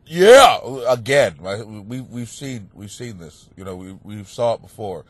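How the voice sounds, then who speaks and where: A man and comic hosting a podcast, with a stuffed-up nose, telling stories in a profane, animated rant that breaks into shouting. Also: on the low side, a little slow, no echo. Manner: animated, swearing, bursts into shouting. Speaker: stand-up comic and podcast host. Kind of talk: profane comedic rant monologue.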